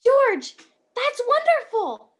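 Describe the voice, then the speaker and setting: A very high-pitched, bumbling guardian angel played by a woman in a radio play. The delivery is earnest and chatty, with a kindly eagerness to help. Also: wildly swooping pitch, slow pace, fairly bright tone, full character voice. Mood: gently persuasive. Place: at home on a video call.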